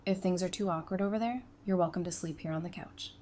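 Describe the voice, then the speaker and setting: A woman's voice: fairly low pitch, fast talker, dark tone, slight background noise, natural voice. An actress playing a sensible, affectionate, plain-spoken woman in an audio drama. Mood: caring, practical. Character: frank, teasing, sensible woman, affectionate with her sweetheart.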